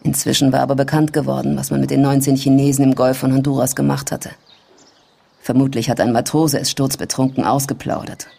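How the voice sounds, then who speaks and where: A woman playing a candid, knowing adult daughter who confides family secrets in a measured way in a German radio drama. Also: very deep voice, level tone, creaky, mellow, exaggerated voice. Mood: confiding.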